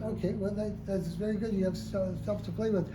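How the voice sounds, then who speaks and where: A man leading a community talk and audience question session, encouraging and hesitant with many pauses. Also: high voice, steady tone. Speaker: guest speaker leading a discussion. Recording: roomy hall with background noise.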